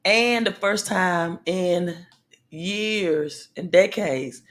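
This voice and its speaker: A woman hosting a casual livestream about money news, with a slightly raspy voice. She talks in a halting way with many pauses and fillers, and calls out to her viewers directly. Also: fairly low pitch, a bit bright. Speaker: livestream host.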